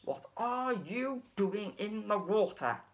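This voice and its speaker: A woman's voice: mid-range voice, expressive, slow pace, background noise. A woman voicing a stern but caring snake puppet, emphatic and admonishing in a children's puppet show. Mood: scolding.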